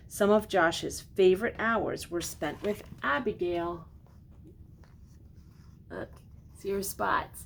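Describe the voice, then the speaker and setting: An older woman reading a children's storybook aloud expressively, pausing for gentle, simply worded explanations. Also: vocal fry. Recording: noticeable background noise with low rumble.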